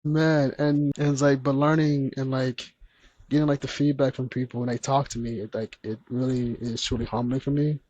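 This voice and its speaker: A man speaking gently and earnestly as a podcast guest, with a soft, soothing voice. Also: medium pitch, little pitch movement, normal speed, dry recording, lo-fi sound. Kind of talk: candid conversational interview.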